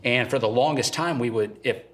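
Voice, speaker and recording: A man's voice: mid-range voice, fairly quick, a bit bright. A middle-aged man from eastern Ohio with a slight Southern-tinged local accent, speaking plainly and earnestly as a guest in a casual interview. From eastern Ohio, USA. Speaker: guest, father of three.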